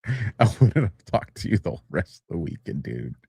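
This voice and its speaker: A podcast co-host, a man with a lowish voice, rambles through his stories and pokes fun at himself along the way. The sound is dry and close, with no room echo. He talks casually, the way you would with friends. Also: big pitch swings, normal speed, vocal fry, a little mellow, slightly rough. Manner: rambling, self-deprecating, frequent restarts and laughs. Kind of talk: casual chat.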